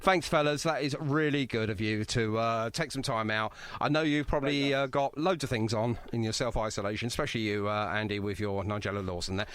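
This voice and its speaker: A man's voice: medium pitch, fairly quick, hardly any pauses, a bit bright, clear, resonant tone. A man hosting a radio interview in a chatty, jokey, effusive way. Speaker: radio show host. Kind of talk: casual, bantering radio interview.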